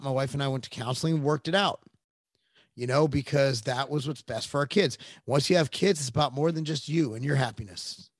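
A man's voice: a little high, no room sound. A middle-aged man hosting a political podcast, blunt and emphatic, swearing casually as he talks. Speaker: podcast host.

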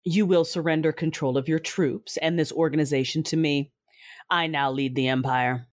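A woman playing a vengeful, grieving Sith ruler, narrating an audio drama in the first person in a measured, solemn, menacing way. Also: low-pitched, fairly even intonation, lightly acted. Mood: commanding. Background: music.

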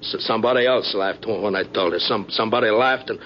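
An actor playing a punch-drunk American boxer, proud and wounded, stammering in slangy working-class speech in a 1950s radio drama. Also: male voice, lowish voice, fast talker, close to an everyday voice. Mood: agitated, halting. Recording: muffled, noisy old radio broadcast.

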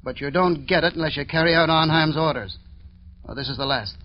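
A man's voice: fairly high pitch, fairly quick, close to an everyday voice. A man acting a tough, menacing gangster henchman in an old radio drama, sneering and impatient. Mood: bullying, impatient. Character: hard, menacing gangster henchman bullying an underling. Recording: dull, noisy old radio recording.

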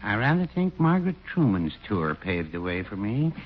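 An actor playing a mild, henpecked shopkeeper in a 1940s radio sitcom, speaking in a slow, meek, understated way. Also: male voice, on the low side, sing-song. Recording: dull old radio broadcast with faint hiss.

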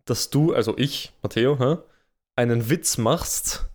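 A man's voice: medium pitch, a little slow, a little mellow. Young Austrian man, casual podcast chat. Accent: Austrian German. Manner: self-deprecating, rambling with restarts. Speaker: podcast co-host.

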